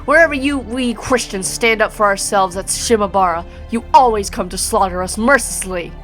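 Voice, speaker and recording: A woman's voice: mid-range voice, normal speed, a bit bright, soft background music, natural voice. Woman reading as a mad, vengeful villain sorcerer. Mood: bitter, vengeful. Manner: theatrical, formal monologue.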